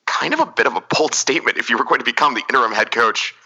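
A man's voice: mid-range voice, very fast, sharp tone. A man hosting a casual soccer podcast, talking analysis in a rambling, thoughtful way with many restarts. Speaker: podcast host.

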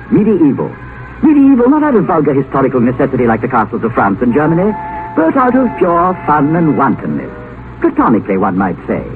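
A tinny vintage radio recording with music carries a bookish, diffident English scholar. He is middle-aged, polite and wry, with a high voice that moves in wide, animated swings. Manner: flustered, stammering, polite. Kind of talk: 1950s radio drama acting and narration. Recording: narrow-band vintage radio broadcast with music.

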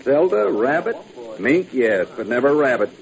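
A man's voice: medium pitch, sing-song, moderate pace, gravelly, crowd noise. A man playing a wry middle-aged record producer in a radio drama, speaking in a dry, precise, literate manner. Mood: wry, arch. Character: wry, erudite older male record producer. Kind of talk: 1950s radio drama acting. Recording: muffled, hissy old radio recording.

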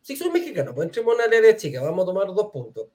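A man hosts a live video-call Q&A, reading each question aloud. His voice is high and soft-edged, and he stumbles briefly over his words now and then. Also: wildly swooping pitch, rapid-fire. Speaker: live-stream host. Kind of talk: hosting a live Q&A.

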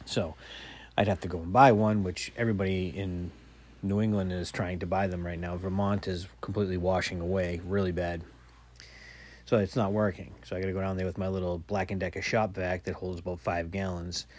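Low-voiced wry man in his forties. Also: level tone, fairly quick, a little mellow. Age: 40s. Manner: rambling, wry and self-mocking; swears casually. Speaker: podcast host. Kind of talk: casual solo storytelling.

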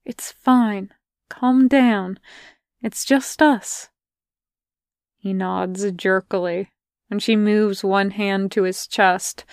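Slow, clear woman narrating a story. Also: mid-range voice, dry recording, studio quality. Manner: measured, even reading. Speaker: podfic reader. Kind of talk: fanfic audiobook narration.

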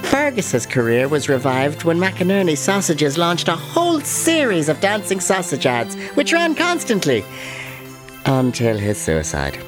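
Radio comedy horror: amiable, tipsy old Irish puka rabbit, rambling and repeating himself, very lively intonation. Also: male voice, fairly high pitch, a little slow, music in the background. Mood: wry storytelling. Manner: hesitant, rambling, repeats words. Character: tipsy, amiable old man turned giant rabbit spirit. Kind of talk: comic horror radio play acting.

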